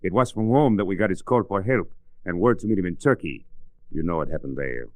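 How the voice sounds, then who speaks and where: A very low-pitched, earnest doctor of middle age in an old radio drama. He phrases things formally and with care, and the sound is dry and close. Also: expressive, brisk, darkish tone, no room sound. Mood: earnest, grave. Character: grave, earnest middle-aged European doctor, male. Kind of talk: 1940s radio drama acting.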